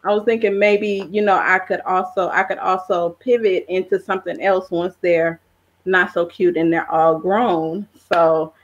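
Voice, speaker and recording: Slightly dull, lo-fi audio of a middle-aged woman with a lowish voice. She speaks as an interview guest, casual and conversational, feeling her way through her sentences haltingly. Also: steady tone. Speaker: podcast guest, travel content creator.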